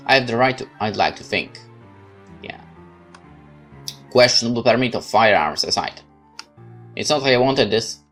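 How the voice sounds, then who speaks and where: A man narrating a horror visual novel in first person, calm, measured and brooding. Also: mid-range voice. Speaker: let's play host narrating a visual novel.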